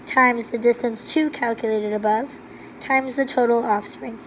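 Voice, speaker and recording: A hoarse woman with laryngitis, presenting a recorded walkthrough of gene-mapping calculations step by step in a steady, methodical way. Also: on the high side, measured pace, slight background noise, rough recording. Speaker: student presenter.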